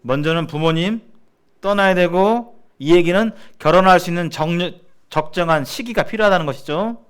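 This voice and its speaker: A middle-aged man from South Korea teaching in a sermon-like seminar style, explaining haltingly and restating his phrases as he goes. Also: high voice, clear, resonant tone. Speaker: church seminar preacher.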